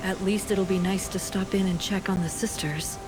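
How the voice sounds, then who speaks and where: Game companion, acted by a woman: loyal, determined, medium pitch, music behind. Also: steady tone, moderate pace, natural voice. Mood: determined, hopeful. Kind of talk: video game voice acting.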